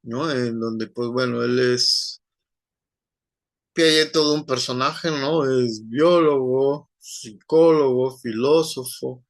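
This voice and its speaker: A man's voice: medium pitch, slow, no room sound. A man teaching an online class, rambling, with many fillers and tag questions.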